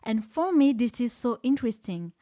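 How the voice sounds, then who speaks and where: A woman's voice: a little high, big pitch swings, moderate pace, warm tone, no room sound, clean studio sound. A woman from France with a French accent, hosting a conversational podcast interview in English as a non-native speaker. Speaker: podcast host.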